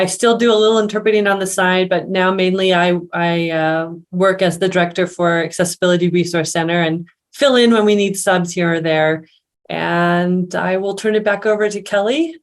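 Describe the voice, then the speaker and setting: Woman, center director, short webinar self-introduction, plain, unhurried, slight hesitations. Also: fairly low pitch, steady tone. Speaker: director of a university resource center.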